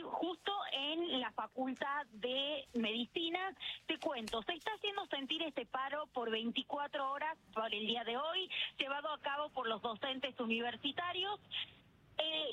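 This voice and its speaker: Argentine lady reporter live on the street, really high bright voice, explaining and asking questions. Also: slight background noise, low-quality recording. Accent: Argentine Rioplatense. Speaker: field news reporter. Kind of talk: live on-the-spot radio report and street interview. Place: outside a university building on a city square.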